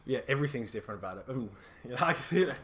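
A man's voice: mid-range voice, animated, racing, fairly bright tone, a little hiss or hum. A young man from Australia, a guest chatting casually on a podcast, rambling and laughing often as he talks.

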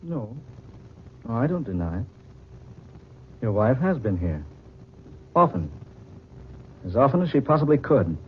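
A man's voice: medium pitch, sing-song, measured pace, pauses often. A man acting in a 1940s radio drama as a suave, cold-blooded adulterer, with an oily, mocking manner. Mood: smug, mocking. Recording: muffled, noisy 1940s radio recording.

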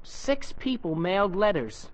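Eager exasperated teenage kid, high voice, super animated, old 40s radio show. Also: male voice, a little slow, rough recording. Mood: incredulous, needling. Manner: animated, incredulous. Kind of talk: 1940s radio comedy acting. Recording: old 1940s radio broadcast.

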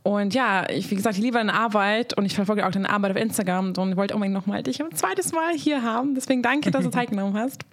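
A woman's voice: mid-range voice, quick pace, few pauses, no room sound. Bubbly sick woman hosting a podcast. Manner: bubbly, gushing, chatty. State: sick, voice strained. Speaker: podcast host. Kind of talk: casual podcast chat. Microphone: video call.

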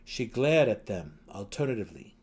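Low-voiced man narrating dramatically. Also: very animated, moderate pace, slightly rough. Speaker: audiobook narrator. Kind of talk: dramatic scripted narration of a fantasy novel.

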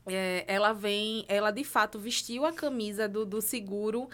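A woman co-hosting a casual live podcast, telling stories in a lively way and laughing easily. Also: medium pitch, moderate pace. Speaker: co-host, insurance colleague.

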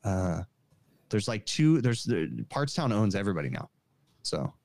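A man's voice: lowish voice, normal speed, gravelly, no room sound. Joking man in his thirties. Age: 30s. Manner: joking, lively storytelling. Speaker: podcast co-host. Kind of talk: casual chat.

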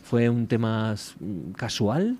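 A man's voice: medium pitch, sing-song, takes it slowly, dark tone. Male radio host, casual interview style, faint background noise.

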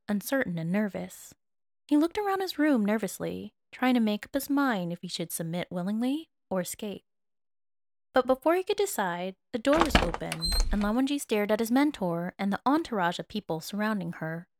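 A woman reading scripted fiction as narrator, in a measured, expressive manner. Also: medium pitch, creaky, no echo, studio quality. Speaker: narrator, fan fiction reader.

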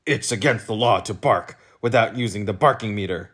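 A man voicing a short, fierce, bossy policeman who shouts accusations, for a storybook reading. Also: fairly low pitch, normal speed. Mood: bossy, shouting.

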